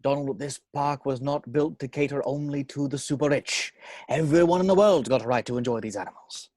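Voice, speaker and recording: A man playing a jovial, boastful older theme park owner in a script table read, with theatrical, halting delivery. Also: mid-range voice, darkish tone, no echo, clean studio sound, close to an everyday voice. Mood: proud.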